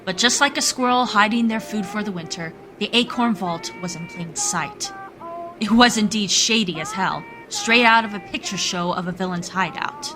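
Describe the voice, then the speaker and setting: A woman's voice: mid-range voice, normal speed, noisy background. A woman narrator reading scripted storytelling narration for a radio play.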